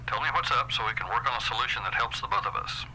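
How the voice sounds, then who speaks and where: An actor playing a smooth, condescending male corporate boss who talks in a patronizing, falsely friendly way. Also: medium pitch, lively intonation, fast talker, noisy background, natural voice. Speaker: employer. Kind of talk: audio drama acting.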